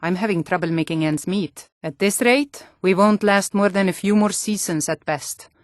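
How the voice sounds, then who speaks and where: An actress playing a gruff, wary Nordic female guard and townswoman in a fantasy video game, speaking bluntly with a Nordic accent. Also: medium pitch, normal speed, dry recording, clean studio sound, lightly acted. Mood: troubled.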